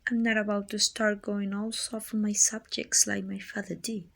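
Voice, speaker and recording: A woman playing a dominant, haughty evil queen with a soft spot, musing imperiously in an ASMR roleplay. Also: medium pitch, little pitch movement, moderate pace. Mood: scheming, reluctant. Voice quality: husky, breathy with whispered edges. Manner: imperious, musing, teasing condescension. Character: dominant, haughty evil queen softening toward her little helper.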